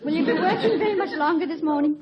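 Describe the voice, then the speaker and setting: An actress playing an elegant, teasing, affectionate wife with an English accent, arch and playful, in radio comedy style. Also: female voice, very high-pitched, fast talker, a crowd in the background. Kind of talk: 1950 radio comedy acting. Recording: muffled old radio recording, light noise.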